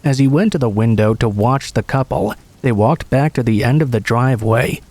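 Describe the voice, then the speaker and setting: A man narrates scripted first-person horror stories in a measured, storytelling delivery. His voice sits at a middling pitch, and the recording is dry, with hardly any room sound around it. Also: expressive, darkish tone, dry recording.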